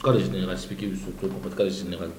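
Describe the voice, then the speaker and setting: A man's voice: sits low, little pitch movement, quick pace, darkish tone. A man with a Congolese accent interviewing informally, often cutting in with challenges.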